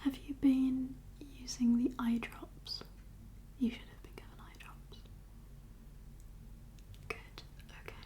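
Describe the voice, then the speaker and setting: A woman speaking in a soft, breathy whisper with a calm, reassuring, unhurried manner, as a doctor in an ASMR medical roleplay. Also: sits high. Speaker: ASMR performer playing a doctor.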